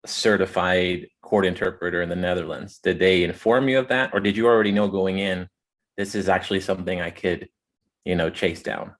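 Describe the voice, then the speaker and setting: A man's voice: low-pitched, brisk. A man hosting an interview podcast who asks long, multi-part questions. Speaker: podcast host.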